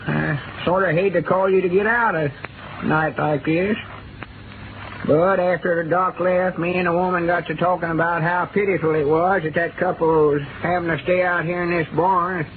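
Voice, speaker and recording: A man's voice: high-pitched, fairly even intonation, moderate pace. An actor playing a kindly, folksy rural man with a Southern American accent in 1940s radio drama style. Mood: earnest, kindly. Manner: folksy, homespun country talk. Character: kindly rural old-timers trudging through snow on charity errand. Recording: tinny, noisy old radio broadcast recording.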